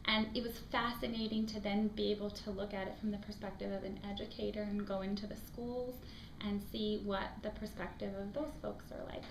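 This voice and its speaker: A woman from Afghanistan, raised in the United States, with an American accent, who works as a school admissions director and answers interview questions in an earnest, reflective way with frequent ums. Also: medium pitch. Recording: echoey room with background noise.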